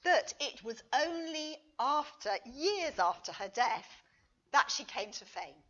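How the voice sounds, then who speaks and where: Female conference lecturer, unhurried and expressive, reading a prepared paper on a slightly muffled recording. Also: high-pitched. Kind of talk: scripted academic lecture.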